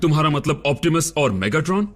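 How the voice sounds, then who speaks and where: A gruff, impatient government agent snaps out his lines in a brusque cartoon-dub delivery. His voice sits high and comes out husky. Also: animated, fast talker, a bit bright. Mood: agitated. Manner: brusque, agitated.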